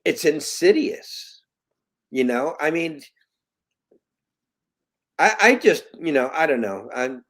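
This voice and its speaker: A man in his late fifties, a guest in an interview, talking earnestly in halting bursts with many restarts. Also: fairly high pitch, expressive. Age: 50s. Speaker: guest, parent. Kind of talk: conversational interview.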